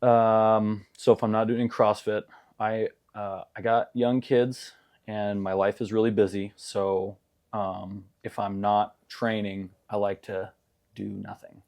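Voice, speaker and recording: Hesitant soft-spoken young man. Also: on the low side, little pitch movement, takes it slowly. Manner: hesitant, many fillers and restarts. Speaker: gym member being interviewed. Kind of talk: casual interview chat.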